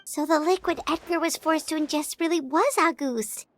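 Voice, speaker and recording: A woman voicing a tiny, naive, excitable fairy companion in a video game, with a squeaky childlike voice. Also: normal speed, soft background music, lightly acted. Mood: alarmed.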